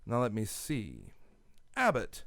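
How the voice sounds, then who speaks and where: A man acting a confident newspaper publisher and masked hero in a community radio drama, brisk and commanding. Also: on the low side, huge pitch swings, creaky, fairly bright tone, no echo, clean studio sound, exaggerated voice. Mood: scheming. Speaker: radio actor. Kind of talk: old-time radio drama.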